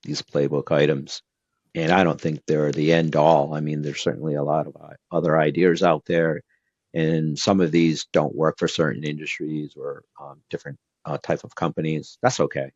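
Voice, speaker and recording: A man's voice: a bass voice, little pitch movement, moderate pace, a little mellow, dry recording, muffled recording. A man from Brazil whose first language is Portuguese, giving earnest advice as an interview guest with lots of fillers and restarts. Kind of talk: casual interview talk.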